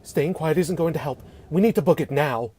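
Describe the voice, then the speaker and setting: An actor playing a remorseful but determined young male leader in an amateur script read, apologetic yet steady. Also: mid-range voice, moderate pace, slightly rough, close to an everyday voice. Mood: urgent, determined. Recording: faintly noisy home microphone recording.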